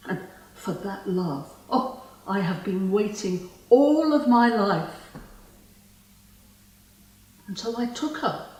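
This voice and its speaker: A woman's voice: mid-range voice, a little mellow. A woman playing a spurned immortal fae, possessive and vengeful, in a theatrical, lyrical stage monologue. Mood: yearning, self-justifying. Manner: theatrical, lyrical, deliberate delivery. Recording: distant mic in a very echoey room.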